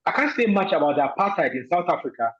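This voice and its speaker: A man from Ghana, a guest answering interview questions in a thoughtful way, pausing often with fillers. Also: on the high side, sing-song, highs cut off.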